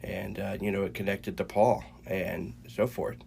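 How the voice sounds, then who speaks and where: Stuffy raspy guy, kinda low voice, lots of ums and you knows, faint hiss behind. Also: steady tone, darkish tone. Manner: halting, many fillers and restarts. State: congested, a little raspy from a cold. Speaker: podcast co-host. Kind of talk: casual faith chat.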